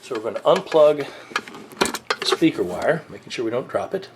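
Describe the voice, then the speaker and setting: A man walks through a piece of music gear in a casual, conversational tutorial style with a lightly wry touch. His voice sits at a medium pitch. The room rings noticeably around him, with a faint layer of noise underneath. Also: sing-song, moderate pace, roomy sound. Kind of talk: casual hands-on video tutorial.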